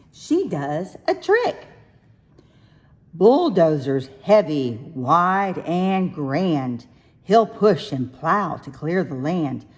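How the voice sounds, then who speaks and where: A woman reading a rhyming picture book aloud for young children, with expressive, sing-song, deliberate phrasing. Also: fairly low pitch, slightly rough. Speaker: children's storybook reader.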